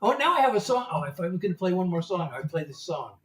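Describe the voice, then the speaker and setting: A man's voice: high voice, sing-song, fast, a bit bright. A man in his seventies, a singer-songwriter rambling in casual livestream chat and laughing often. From United States. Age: 70s. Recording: roomy, distant mic, guitar music behind.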